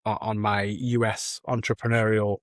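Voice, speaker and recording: A man's voice: fairly low pitch, flat delivery, moderate pace, no echo, studio quality. A man hosting a podcast, joking around and hesitating with fillers as he introduces his guest. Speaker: podcast host. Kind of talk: casual podcast interview.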